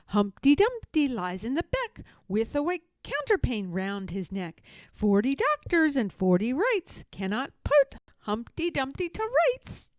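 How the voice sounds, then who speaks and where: A woman's voice: high-pitched, huge pitch swings, a little slow, no room sound, exaggerated voice. A woman voicing an impertinent young male squirrel who chants teasing rhymes in a storybook. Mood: impertinent, taunting. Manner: sing-song, chanting, taunting. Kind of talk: storybook character voice.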